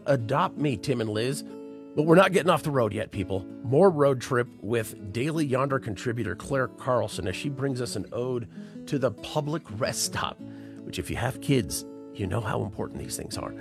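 A man's voice: medium pitch, animated, moderate pace, music in the background. A man hosting a radio show, playful and jokey as he talks with guests and introduces segments.

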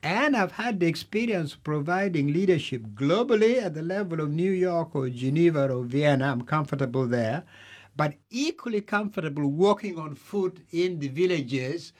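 A middle-aged man from Uganda, answering interview questions in a measured, deliberate way, stressing lists of points with pauses. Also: a little high, expressive. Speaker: candidate being interviewed.

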